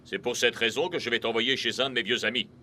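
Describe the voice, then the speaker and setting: A man playing a firm, composed football coach in a cartoon dub, with a measured, instructive delivery. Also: mid-range voice, steady tone, pushed and bright, slight background noise. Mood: firm, encouraging. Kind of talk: animated cartoon dub acting.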